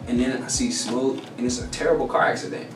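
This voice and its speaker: Slangy, animated man in an echoey room. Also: medium pitch, fast. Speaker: guest songwriter. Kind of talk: casual interview storytelling. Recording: echoey room, distant mic, noisy.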